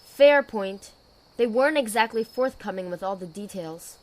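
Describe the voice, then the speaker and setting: A woman playing a dutiful, weary knight commander in an audio drama, speaking in a measured, authoritative way. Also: mid-range voice, lively intonation, natural voice. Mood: pondering.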